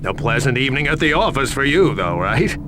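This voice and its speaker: An actor playing a sardonic police detective in a video game, questioning a suspect with casual, wry sarcasm. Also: male voice, mid-range voice, very animated, brisk, noisy background, close to an everyday voice. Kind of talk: video game cutscene acting.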